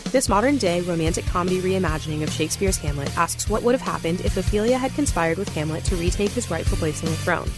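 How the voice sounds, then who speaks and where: A woman's voice: lowish voice, over music. A woman reading a scripted promo for an audio drama in a polished announcer style. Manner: brisk, polished announcer delivery.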